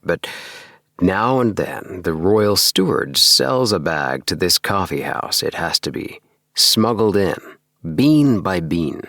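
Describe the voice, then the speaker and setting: A man's voice: sits low, fairly bright tone, no room sound, clean studio sound, heavily acted. A man voicing a puzzled, reasonable young friend in a storybook, in a soothing, bemused manner. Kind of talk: storybook dialogue reading.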